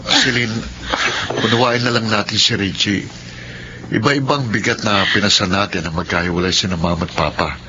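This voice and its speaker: An actor playing a patient, understanding older brother in a Filipino radio drama, calm and soothing. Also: male voice, on the low side, normal speed, close to an everyday voice. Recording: dull, noisy radio recording.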